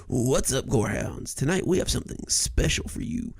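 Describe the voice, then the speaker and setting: A man voicing a showy young male video streamer in a horror story, trying to sound spooky and low. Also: animated, moderate pace, creaky, breathy edge, no echo. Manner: showy presenter trying to pitch his voice low.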